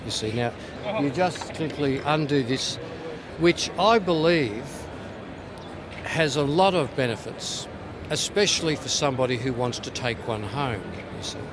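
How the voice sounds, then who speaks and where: A man's voice: medium pitch, expressive, unhurried, noisy background. A man giving an informal, playful product demonstration as a company representative at a trade show. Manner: tongue-in-cheek, playful.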